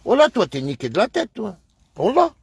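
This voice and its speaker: A man's voice: high-pitched, wildly swooping pitch, normal speed, gravelly, slightly rough, no echo. A man from France talking in a casual live stream, speaking emphatically and repetitively, calling his viewers brother.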